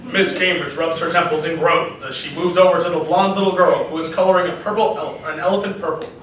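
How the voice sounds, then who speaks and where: A man reads scripted comedy narration live. His voice is on the high side, set back from the mic in a very echoey room with faint noise behind. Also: steady tone, fast, a bit bright, recorded from a distance. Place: panel room at an anime convention.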